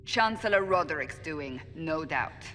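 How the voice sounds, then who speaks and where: A woman's voice: low voice, expressive, a little slow, pushed and bright, heavily acted. An actress playing a stern, blunt, determined woman commander in a video game cutscene. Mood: firm. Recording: echoey hall with background noise.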